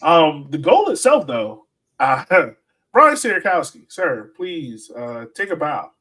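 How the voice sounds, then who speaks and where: A man's voice: on the high side, sing-song, takes it slowly, bright, forceful tone. A man co-hosting a sports podcast, giving casual soccer analysis in an emphatic, conversational way.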